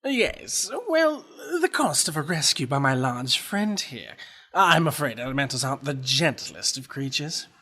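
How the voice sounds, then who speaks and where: Male actor as mock-courteous villain, swooping pitch, husky, unhurried, savoring every threat, audio drama. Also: big pitch swings, bright, forceful tone, full character voice. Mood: mock-polite taunting. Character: taunting, falsely polite villain who controls a deadly elemental.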